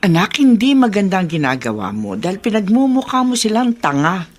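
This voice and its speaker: A woman's voice: mid-range voice, sing-song, brisk. An actress playing a worried mother who chides her grown daughter in a radio drama, in a motherly, reproachful way. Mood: chiding. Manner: chiding, motherly.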